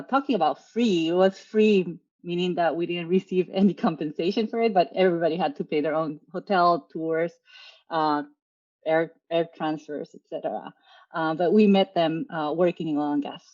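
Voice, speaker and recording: Woman from Peru, lo-fi call audio. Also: lowish voice. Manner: relaxed storytelling with frequent fillers. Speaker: guest, travel agency owner. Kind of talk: casual interview talk.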